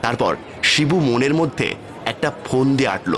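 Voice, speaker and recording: A man's voice: medium pitch, expressive, normal speed, fairly bright tone, a little hiss or hum. A man narrating a scripted cartoon fairy tale as its storyteller.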